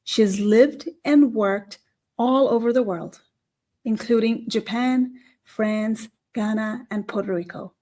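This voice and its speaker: A woman's voice: a little high, slow pace, warm tone. A woman hosting a conversational interview show, introducing and questioning her guest. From South Asia.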